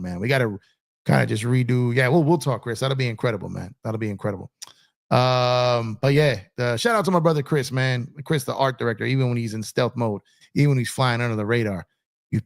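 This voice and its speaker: A man's voice: medium pitch, a bit bright. A man hosting a casual livestream, chatting loosely and reading viewer comments, rambling with many fillers and repeats. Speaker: livestream host. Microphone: streaming setup in a gaming chair.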